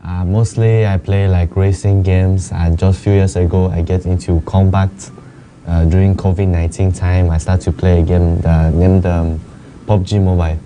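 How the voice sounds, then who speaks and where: A young man, a native Chinese speaker, answering interview questions hesitantly and softly. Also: low voice, little pitch movement, normal speed, a little hiss or hum. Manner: hesitant, soft-spoken, laughs shyly. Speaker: esports player guest.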